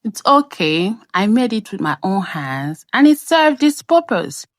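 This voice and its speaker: Unhurried Nigerian woman telling a story. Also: mid-range voice, sing-song, dry recording, clean studio sound. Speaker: craft storyteller and vlogger. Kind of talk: personal storytelling voice-over.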